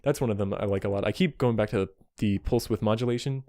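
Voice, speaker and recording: A man's voice: lowish voice, fast. A man giving a casual, rambling synthesizer demonstration to a friend, enthusiastic yet self-deprecating and apologetic, speaking as an amateur player. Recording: music behind, occasionally overloaded mic. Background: synthesizer tones.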